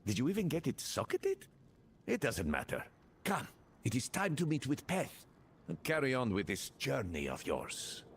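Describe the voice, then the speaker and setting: A glib, theatrical guide in a fantasy game. His mid-pitched voice swoops wildly with playful flamboyance, over faint ambience. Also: wildly swooping pitch, normal speed, slight background noise. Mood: teasing. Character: flippant, theatrical, charming male guide. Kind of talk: video game voice acting.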